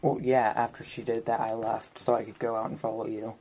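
A woman's voice: rumbling low, little pitch movement, brisk, warm tone. A woman chatting casually as a player in a tabletop role-playing game. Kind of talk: casual group chat. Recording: faint background noise.

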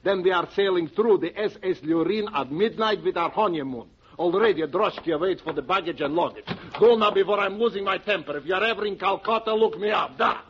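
An actor playing a boastful, conceited Bulgarian cavalry major in an old radio drama, with a husky voice, a thick Eastern European accent and pompous broken English. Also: male voice, sits high, brisk, few pauses, noisy background, close to an everyday voice. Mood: impatient, dismissive. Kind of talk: 1940s radio detective drama acting. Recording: dull old radio recording.

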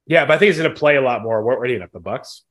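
A man's voice: mid-range voice, very fast, a bit bright. A man in his thirties co-hosting a sports podcast in a casual, conversational style. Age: 30s.

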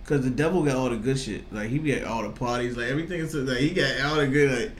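A man's voice: mid-range voice, fast. An American man in his thirties chatting casually as an interviewer, slangy and repeating phrases for emphasis. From Virginia, United States. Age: 30s. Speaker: podcast host and interviewer. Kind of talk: casual chat. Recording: echoey room, audible background noise.

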